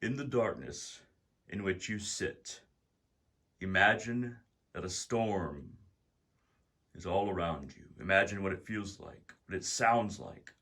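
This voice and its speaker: A man narrating a guided meditation as its guide, in deliberate, measured phrases with pauses. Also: low-pitched, a bit bright.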